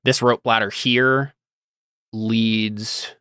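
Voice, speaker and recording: A man's voice: fairly low pitch, level tone, very slow, sharp tone, smooth, no echo, studio quality. A young man running a tabletop role-playing game as game master, talking casually and thinking aloud as he reads rules text.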